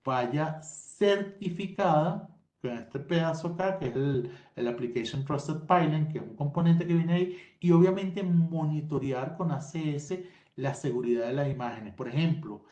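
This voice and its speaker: A man with a Venezuelan accent talks through a technical presentation, his voice mellow and warm. The sound is dull and roomy, like a video call from a home room. He explains things at length and often restarts a phrase to fix it. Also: fairly high pitch, normal speed. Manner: explanatory, technical, self-correcting. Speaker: tech presenter. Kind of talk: webinar presentation. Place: home office in Colombia. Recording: dull, roomy webinar audio.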